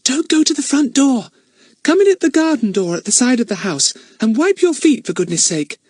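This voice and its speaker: A man's voice: very high-pitched, sing-song, normal speed, a little mellow, dry recording, studio quality, voice pushed up, heavily acted. A man reading aloud and voicing a fussy young girl giving instructions. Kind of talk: audiobook character voice.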